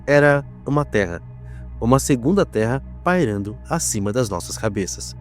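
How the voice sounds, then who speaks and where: A man with a Brazilian accent narrating a scripted horror mock documentary in a solemn, measured, dramatic manner. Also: mid-range voice, animated, music in the background.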